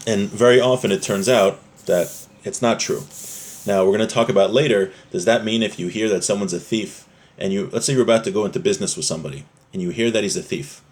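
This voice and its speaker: Male religious teacher, lowish voice, informal text study, explains in plain restatements. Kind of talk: informal religious text lesson, reading aloud then explaining.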